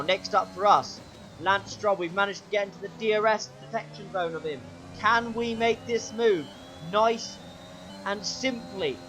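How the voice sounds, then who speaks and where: A man commentating his own racing game run, excitable play-by-play with a sore, strained throat. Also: a little hiss or hum. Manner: excitable play-by-play, pitch jumps when overtaking. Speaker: gaming channel host.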